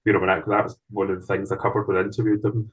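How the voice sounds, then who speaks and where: A Scottish man speaking as the guest author in an online seminar, thoughtful and measured, with frequent ums and restarts. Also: lowish voice, little pitch movement, husky. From Scotland. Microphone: video call.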